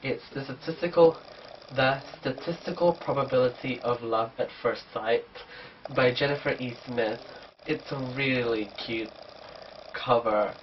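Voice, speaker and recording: A man's voice: medium pitch, measured pace, a little hiss or hum. A man with a cold and a blocked, nasal voice, chatting casually through a book haul vlog. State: sick, blocked nose. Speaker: book vlogger. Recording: distant dull camera mic.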